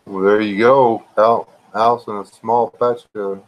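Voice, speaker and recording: A man with a drawl chats with his live stream viewers, wandering from one story to the next. His voice sits fairly low and moves slowly and evenly, so calm that it can sound either soothing or dull. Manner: slow, drawling, low-key voice that viewers call relaxing or boring. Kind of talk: rambling casual live chat.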